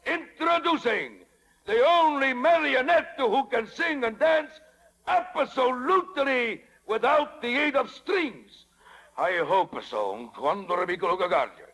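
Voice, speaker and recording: A man's voice: very high-pitched, big pitch swings, measured pace, sharp tone, full character voice, low-quality recording. An actor playing a boastful puppet showman with an Italian accent, announcing pompously in 1930s radio style. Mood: bombastic, boastful. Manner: pompous, grandiose announcing with mangled foreign asides. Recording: raspy, dated 1930s radio broadcast.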